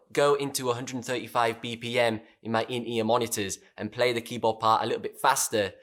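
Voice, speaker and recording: A hoarse young British man with a lost voice, giving a relaxed, step-by-step music lesson. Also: medium pitch. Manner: relaxed and chatty, explains step by step. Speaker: music teacher. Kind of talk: instrument lesson.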